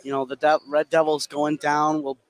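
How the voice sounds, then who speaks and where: A man's voice: on the high side, monotone, normal speed. A man working as a color commentator, giving conversational, analytical commentary on a live basketball game. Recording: faint gym background noise. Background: basketball game in a gym.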